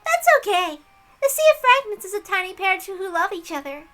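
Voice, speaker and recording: Woman voicing a high, bright, gleefully cruel witch girl. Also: very animated, brisk, faint music behind, exaggerated voice. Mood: amused. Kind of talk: dramatic visual novel read-aloud.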